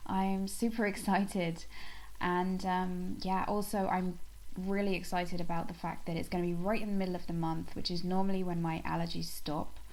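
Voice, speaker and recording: A woman's voice: on the low side, little pitch movement, moderate pace, darkish tone, rough recording. A woman vlogging in a casual chat to camera, slightly nasal from allergies, rambling with many ums and restarts.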